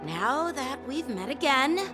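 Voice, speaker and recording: A courteous young female officer who speaks politely and formally. Her voice sits somewhat high and moves expressively. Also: very animated, a little slow, over music. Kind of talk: video game voice acting.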